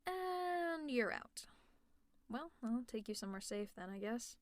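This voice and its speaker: The villainess in a late-night ASMR roleplay, played by a woman, needling her favorite nemesis with fond exasperation and sarcasm in a fairly high voice, close and without any room echo. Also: lively intonation, a little slow. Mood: dry, concerned. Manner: sarcastic, teasing, conversational. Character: teasing, exasperated, secretly caring female supervillain. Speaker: audio roleplay performer.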